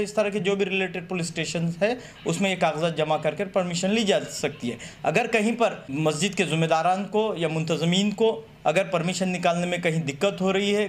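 A man giving a methodical, formal statement to the press. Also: high-pitched. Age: 30s. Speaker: political party representative. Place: small office room, seated at a table. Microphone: press microphones on the table. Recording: clearly noisy room recording.

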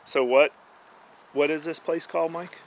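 A man with a voice a little on the high side chats casually with friends in the woods. He muses in a wry, mock-serious way. The camera microphone picks up some faint outdoor noise. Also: fairly even intonation, moderate pace, low-quality recording. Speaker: vlogger filming a camping trip. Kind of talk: casual joking chat. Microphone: camera microphone, a little away. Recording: outdoor camcorder audio with background noise.

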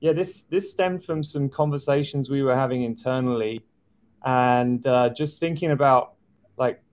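A middle-aged man guesting on a video call from an office, speaking in a thoughtful, measured way. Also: medium pitch, a little mellow. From outside the US. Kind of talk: casual chat on a video call. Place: office indoors. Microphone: video call webcam.

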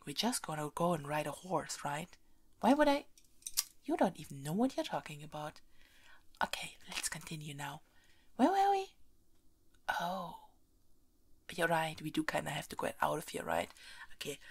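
A woman plays a spoiled, haughty young princess in an intimate ASMR roleplay. Her voice is breathy with a crackly fry and swings widely in pitch. She orders and teases in a soft, imperious way, often dipping into whispers. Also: moderate pace, exaggerated voice. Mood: teasing, casual. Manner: playfully bossy, imperious, expressive.

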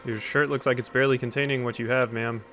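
A man's voice: mid-range voice, flat delivery, quick pace, fairly bright tone, faint music behind. A man giving casual let's play commentary with wry, joking asides.

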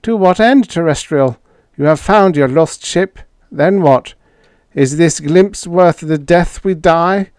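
A man voicing a frantic, hissing alien who raves in a shrill, high voice. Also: slow, a little mellow, clean tone, dry recording, clean studio sound. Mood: agitated. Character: frantic hissing alien male, fearful and dramatic.

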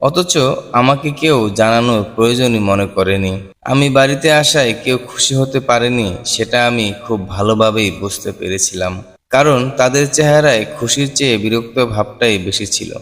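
A man's voice: medium pitch, normal speed, fairly bright tone. A man reading a scripted first-person cartoon story in an earnest, sorrowful manner. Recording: long hall reverb. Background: music.

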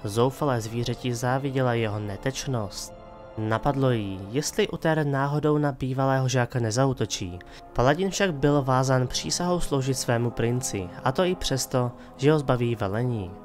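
Guy narrating a fantasy audiobook drama, medium-pitched voice, music behind him.

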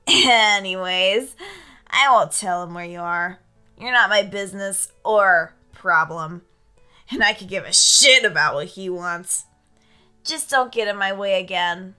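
A woman playing a smug, brassy, catty demon with a taunting drawl in an animated comic dub. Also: mid-range voice, measured pace, clean tone, soft background music.

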